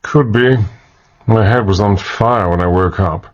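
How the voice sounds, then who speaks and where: An actor playing a British gentleman adventurer, dry and weary, in a radio drama that he also narrates in the first person. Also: on the low side, a little slow, lo-fi sound, lightly acted. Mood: groggy.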